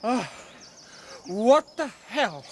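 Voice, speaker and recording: A man's voice: very high-pitched, lively intonation, very slow, background noise, heavily acted. An actor playing a brutal, sneering male villain in a radio drama, coarse and menacing. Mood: mocking. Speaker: villain forcing a marriage. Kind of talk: BBC radio drama acting.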